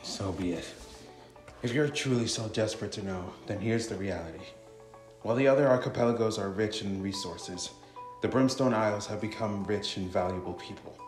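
A threatening enemy soldier from a visual novel, read out by a man, with a fairly low voice and defiant words. The sound is roomy, with clear music behind. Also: normal speed, close to an everyday voice. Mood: menacing, defiant.

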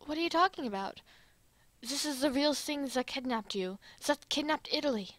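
A woman voicing an angry, demanding male anime soldier with forceful delivery in an amateur fan drama. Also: high voice, expressive, moderate pace, no echo. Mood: furious. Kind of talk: amateur fan dub roleplay.

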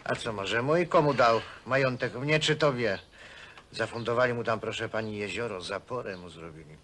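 Male actor as cocky hostel worker, sharp-edged voice, teasing, blunt, film drama, slightly noisy recording. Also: medium pitch, moderate pace, lightly acted. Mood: needling. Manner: mocking, blunt, colloquial. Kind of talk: naturalistic film acting. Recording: faint background noise, old film soundtrack.

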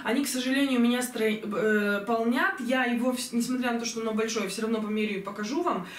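Young woman gushing about dresses, slightly hoarse, sounds far from the mic, echoey room at home. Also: fairly high pitch, fairly even intonation, fast talker. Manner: chatty, enthusiastic, many fillers. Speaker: fashion vlogger. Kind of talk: clothing haul review. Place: bedroom at home, indoors. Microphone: camera mic from a distance. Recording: roomy echo, voice from far off.

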